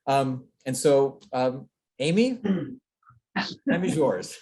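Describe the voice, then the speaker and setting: A man with a medium-pitched voice gives a semi-formal welcome speech as a committee co-chair. He is earnest and halting, often doubling back on his words as he thanks and invites listeners. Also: lively intonation, a little mellow, a little hiss or hum. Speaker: committee co-chair introducing event.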